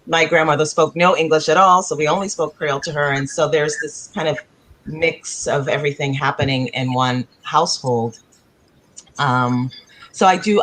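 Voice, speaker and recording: A woman's voice: rumbling low, a bit bright, slight background noise, rough recording. A woman playwright born in Africa and raised in the United States, speaking on a video-call panel in a thoughtful, searching way, often circling back to rephrase.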